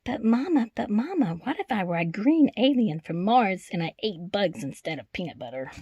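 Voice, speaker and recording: A woman's voice: mid-range voice, moderate pace, slightly rough, no echo, lo-fi sound. A woman voicing an eager, pleading young child in a storybook readaloud. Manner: pleading, excited. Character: eager young child questioning Mama with wild what-ifs. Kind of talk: storybook character voice.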